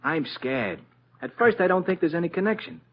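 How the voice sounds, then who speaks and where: An actor playing an anxious, excitable small-time vaudeville animal-act showman, chummy and slangy, in an old-time radio detective drama. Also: fairly high pitch, brisk. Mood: nervous, chatty. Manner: folksy slangy talk, chummy. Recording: muffled, noisy old radio recording.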